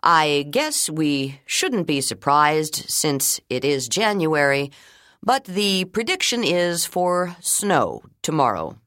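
Woman reading a male principal, kind, funny, measured announcer, low pitch, dry close sound. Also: sing-song, a bit bright, dry recording, exaggerated voice. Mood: announcing.

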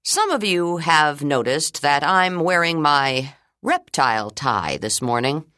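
A friendly, good-humored school principal giving the morning announcements, slow and measured, in a low voice that swings widely in pitch, as a woman reads him. The sound is close and dry, with no room around it. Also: animated, bright, forceful tone, no echo, exaggerated voice. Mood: announcing. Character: kind, funny male school principal on intercom.

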